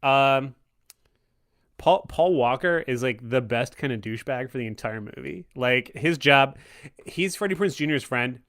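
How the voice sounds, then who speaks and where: A man with a mid-pitched voice talks casually on a podcast. He rambles and stalls as he searches for words, keeping a tongue-in-cheek tone. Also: expressive, measured pace, fairly bright tone. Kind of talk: casual movie chat.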